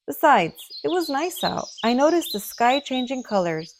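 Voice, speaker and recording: Animated woman reading a children's storybook. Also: sits high, moderate pace, background noise. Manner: dramatic, expressive storytelling. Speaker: children's storybook read-aloud reader. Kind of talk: expressive picture-book narration for children.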